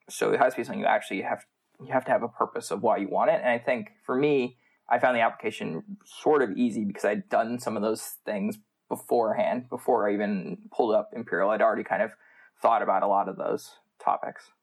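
A man's voice: on the low side, brisk, slightly rough. A man with an American accent, a student guest answering interview questions in a casual, conversational style. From United States. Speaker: MBA student, podcast guest.

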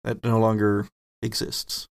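A man narrates a tabletop game as its game master, taking his time over every description. His voice has a gravelly edge. The sound is dry, with no room around it. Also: on the low side, monotone, dry recording. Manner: slow, descriptive narration with rules explanations.

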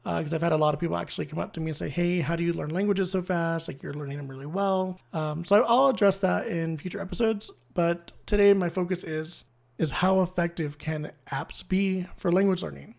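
A host with a creaky voice giving a casual solo explainer, thinking aloud and sharing opinions in a conversational way. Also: mid-range voice, darkish tone. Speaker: host of a language-learning series.

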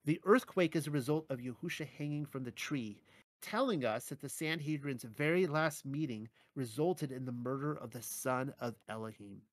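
Man, article reader with a dry, wry delivery, mid-pitched voice, close dry sound. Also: normal speed, dry recording. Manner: dry, wry asides between scripture quotations. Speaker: religious teacher reading his own articles. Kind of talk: reading and commenting on a written article.

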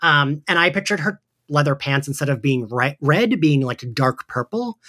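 A man's voice: on the high side, lively intonation, moderate pace, pushed and bright. A man hosting a casual podcast discussion in a giggly, agreeable manner. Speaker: podcast host leading a discussion. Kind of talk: casual chat.

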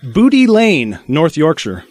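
A man's voice: on the high side, huge pitch swings, takes it slowly, darkish tone, dry recording, clean studio sound. A man with an American accent hosting a casual panel discussion on a podcast. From United States. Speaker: podcast host.

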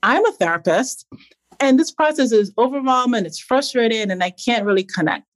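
A woman's voice: a little high, expressive, moderate pace, no room sound. A woman from Barbados in her forties, a psychologist and founder, answering interview questions candidly with fillers and restarts.

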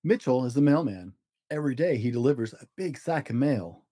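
A man hosting a children's show, reading a storybook aloud in simple, expressive language for young listeners. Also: mid-range voice, brisk, no room sound, clean studio sound.

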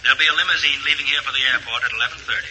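An actor playing a polite, brisk airline clerk on the telephone, in 1940s radio drama style. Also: male voice, mid-range voice, lightly acted. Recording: vintage radio broadcast, faint hiss.

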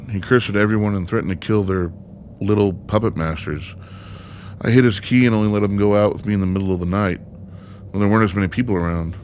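Male actor as sardonic, foul-mouthed son, radio play, low register, faintly noisy track. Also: darkish tone, close to an everyday voice. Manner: blunt, sardonic. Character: bitter, sarcastic, foul-mouthed son of an ailing father.

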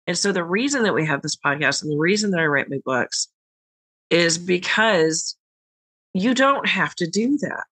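Bright, blunt tarot podcast host. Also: medium pitch, lively intonation, normal speed, no echo. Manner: frank, swears casually, rambling. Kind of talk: casual podcast chat.

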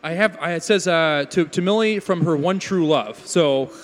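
A man plays a character in a spoof of old radio drama, serving as messenger and announcer. His voice is notably high for a male. It rings out with a long, hall-like reverb. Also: normal speed, slight background noise, close to an everyday voice. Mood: flustered. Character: flustered apologetic messenger, also grandiose radio announcer.

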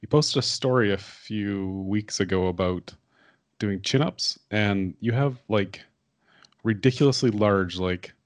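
A man's voice: lowish voice, measured pace. A man co-hosting a podcast, asking questions in casual chat. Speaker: podcast co-host.

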